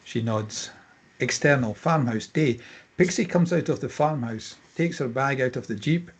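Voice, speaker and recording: A man with a husky, mid-pitched voice reads screenplay directions. It is scripted narration, setting out scenes and actions in short statements. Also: normal speed. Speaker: stage-direction reader.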